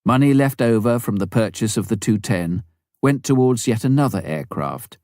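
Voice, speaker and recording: A man reading a memoir aloud as scripted narration, with measured, expressive storytelling delivery. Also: mid-range voice, no echo, clean studio sound. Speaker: audiobook narrator.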